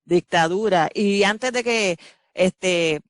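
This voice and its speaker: A woman hosting a Spanish-language interview, reading a measured, earnest introduction and asking questions. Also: mid-range voice. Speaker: host and interviewer. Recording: music playing behind the voice.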